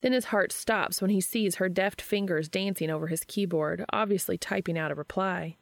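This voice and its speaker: A woman narrating fiction as an audiobook reader, in an expressive storytelling style. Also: lowish voice, moderate pace, creaky, dry recording.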